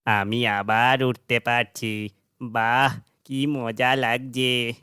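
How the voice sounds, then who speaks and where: A man acts an earnest blue bird from a children's cartoon. The voice is very smooth and bright, slow, with little rise and fall. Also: medium pitch, level tone, dry recording, clean studio sound. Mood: joyful. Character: male talking blue bird, simple and earnest.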